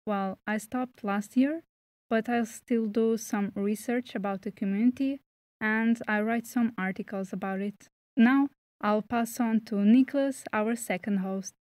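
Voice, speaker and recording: A woman's voice: fairly high pitch, steady tone, unhurried, a little mellow, no echo. A woman from Portugal, hosting a podcast and reading a prepared introduction. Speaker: podcast host.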